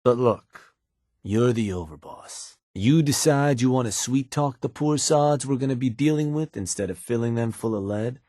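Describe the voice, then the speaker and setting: An actor playing a sly, cynical gang advisor in a video game, with a husky, laid-back, slangy delivery and an undertone of menace. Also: male voice, moderate pace, warm tone, no echo, studio quality, close to an everyday voice. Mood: coaxing, matter-of-fact. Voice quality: husky, low. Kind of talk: video game character dialogue.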